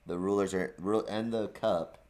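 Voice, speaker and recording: A man co-hosting a live quilting show, sick and hoarse, cracking self-deprecating jokes. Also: low-pitched, steady tone, normal speed, noisy background. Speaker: co-host.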